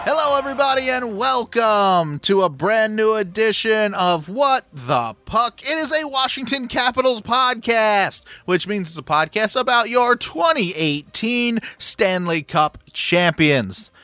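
Man, podcast host, high voice, upbeat, brisk, dry close recording. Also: very animated, bright, forceful tone, no room sound. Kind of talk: casual sports podcast chat.

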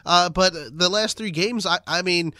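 A male podcast host with a bright, fairly high voice, nasal and stuffed up from a cold. He chats loosely and rambles about the games, and the recording is dry and close. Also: unhurried, no room sound. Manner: chatty, rambling, self-deprecating. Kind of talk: casual sports podcast chat.

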